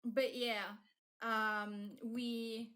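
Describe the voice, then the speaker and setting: A woman's voice: fairly high pitch, little pitch movement, drawn-out words, pushed and bright. A woman whose first language is Polish, chatting casually on a live stream with many fillers and restarts. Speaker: streamer and fanfic writer.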